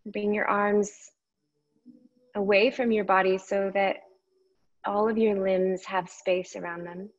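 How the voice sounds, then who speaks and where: A woman teaching yoga meditation, speaking gently and unhurriedly in short phrases with long pauses between them. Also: medium pitch, flat delivery, dull audio. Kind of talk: guided meditation instruction.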